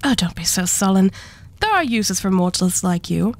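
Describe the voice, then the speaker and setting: A woman playing an arch devil who hoards relics, speaking with unhurried, condescending and politely menacing poise in a scripted ASMR roleplay. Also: medium pitch, big pitch swings.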